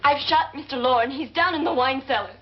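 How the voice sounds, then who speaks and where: A woman's voice: high voice, fairly quick, natural voice. An actress playing a frightened, urgent young woman in a 1950s horror film. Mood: panicked. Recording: dull, noisy vintage film soundtrack.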